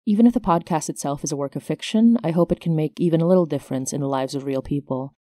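A woman's voice: low-pitched, fast, warm tone, no echo, studio quality. A woman hosting her podcast, reading scripted announcements as its creator.

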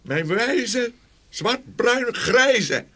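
A man's voice: very high voice, very animated, extremely slow, very noisy, full character voice. An actor playing a simple, idle young peasant man in a fairy tale, with a naive, wondering manner. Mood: calling out.

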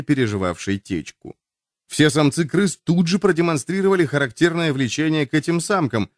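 A man's voice: medium pitch, dry recording, studio quality. Male audiobook narrator for popular nonfiction; mid-range voice, very varied intonation, scripted delivery.

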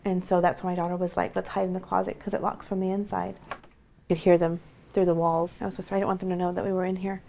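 A woman's voice: lowish voice, level tone, quick pace, creaky, dark tone. A woman, around her thirties, giving a casual personal account in an interview at home. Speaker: interviewee recounting a break-in. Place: living room and rooms of a home.

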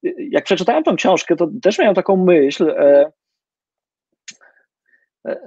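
Halting man on a dull video call. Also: high-pitched, very animated, a little mellow, slightly rough, dry recording. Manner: halting, many fillers and restarts. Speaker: interviewer. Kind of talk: hesitant literary interview questions. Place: home room with bookshelves.

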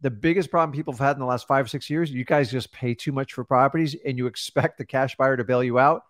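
A middle-aged man hosting a studio podcast, coaching viewers in a forceful, direct way. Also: mid-range voice, fast talker, dry recording. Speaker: host. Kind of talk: casual podcast coaching talk. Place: studio with backdrop. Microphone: studio mic on boom arm.

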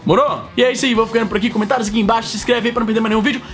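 A man's voice: very high-pitched, rapid-fire, soft background music. A man in his thirties from Brazil with a cold, ranting animatedly to the camera in a casual, profane vlog from a room at home. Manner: animated, profane, slangy, big hand gestures. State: sick with a cold, coughing. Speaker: vlogger host. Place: home room with shelves of collectibles. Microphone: fixed camera, close framing.

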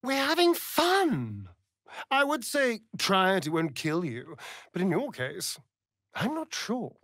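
A man's voice: sits high, wildly swooping pitch, slow pace, a bit bright, no echo, studio quality, exaggerated voice. A male actor voicing a sly, vain vampire rogue in a fantasy video game, with an arch, flamboyant, sardonic drawl. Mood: mocking.

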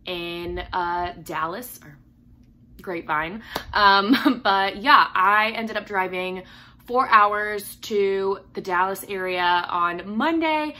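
A hoarse young woman in her twenties, chatting casually to camera about books at home, with lots of fillers and likes. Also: mid-range voice, slow pace, pushed and bright, a little hiss or hum. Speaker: book vlogger.

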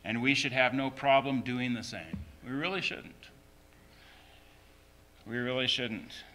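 A man's voice: mid-range voice, a little slow, pushed and bright. A man preaching a sermon as a pastor, emphatically repeating short phrases.

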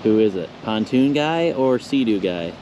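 A man's voice: on the low side, animated, unhurried. Chatty man narrating outdoors. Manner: easygoing, chatty play-by-play. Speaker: vlogger filming a fishing trip. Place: outdoors on a pier by a channel. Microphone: action camera. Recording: windy outdoor action-cam audio.

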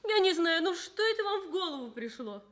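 An actress playing a warm, earnest young nurse in a Soviet radio drama, speaking with tender insistence. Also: female voice, very high voice, very animated, a little fast, exaggerated voice. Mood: earnest reassurance.

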